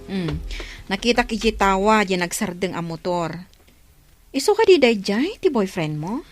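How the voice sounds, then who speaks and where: A woman's voice: medium pitch, very animated, moderate pace, soft background music, close to an everyday voice. A woman with an Ilocano Filipino accent playing an inquisitive, mildly chiding older sister figure in a radio drama.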